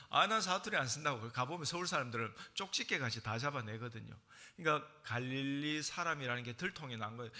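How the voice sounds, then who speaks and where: A male pastor with a Gyeongsang accent preaching a sermon, telling the story in an animated way and acting out the scenes. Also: mid-range voice. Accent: Gyeongsang Korean.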